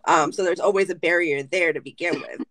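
A woman whose first language is Spanish, telling her story as an author on a casual video call with lively candor. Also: fairly low pitch, a little fast, no room sound. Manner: animated, candid storytelling. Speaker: author guest. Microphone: video call webcam.

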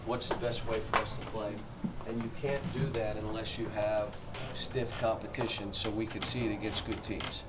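A man's voice: lowish voice, little pitch movement, normal speed, hardly any pauses. A middle-aged man, a basketball coach, answering reporters after a game in plainspoken talk with frequent restarts. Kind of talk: postgame press scrum. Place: small room before a logo backdrop, indoors. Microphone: handheld reporter mics held close, camera a few feet off. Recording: distant mic with clear background noise.

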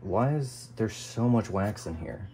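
An American man narrating his hobby restoration work casually and unscripted, musing out loud as he goes. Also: lowish voice, expressive, unhurried. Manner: musing, thinking aloud. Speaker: hobbyist radio restorer presenting a video. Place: small indoor home workshop. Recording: faint room noise.

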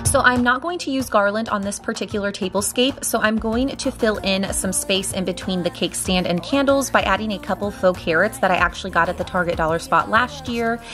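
A woman's voice: medium pitch, fairly quick, hardly any pauses, over music. A woman giving a friendly, chatty voice-over for a home decorating video. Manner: friendly, chatty, addresses viewers as y'all. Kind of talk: casual voice-over tutorial.

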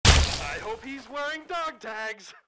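A man playing a high-voiced, gleefully callous soldier. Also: expressive, takes it slowly, exaggerated voice. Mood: gleeful mockery. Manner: sarcastic, mocking. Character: gleefully callous male military war criminal, sarcastic. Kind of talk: video game announcer voice pack.

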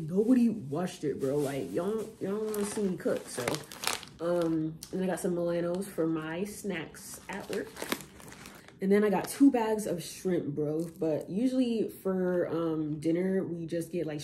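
A woman's voice: lowish voice, noisy background. A young woman vlogging a grocery haul at home in her kitchen, talking casually with slang and rambling asides. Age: young adult.

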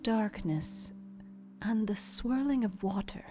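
An entranced young woman speaks from far away, hushed and otherworldly, with a man acting her out. Her voice sits high and trails into a long hall reverb. Music plays beneath her in this radio play. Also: slow. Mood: trancelike. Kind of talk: audio drama reading.

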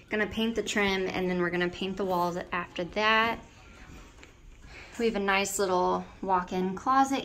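A woman's voice: mid-range voice, a bit bright. An American woman in her twenties giving a chatty, informal walking tour for a vlog, thinking aloud as she talks in empty indoor rooms. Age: 20s. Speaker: vlogger giving a house tour. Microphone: handheld camera or phone at arm's length.

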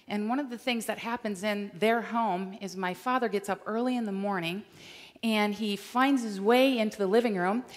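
A middle-aged woman from Michigan giving an animated, storytelling chapel talk on a large stage. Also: medium pitch. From Michigan, USA. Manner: animated, gesturing while walking the stage. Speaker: chapel speaker. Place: large dark auditorium stage. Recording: long hall reverb.

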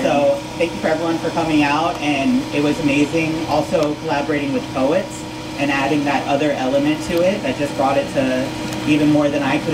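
A woman with a very low voice gives an informal speech as an artist. She sounds echoey, with loud noise all around. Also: recorded from a distance. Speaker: mural artist. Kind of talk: informal thank-you speech.

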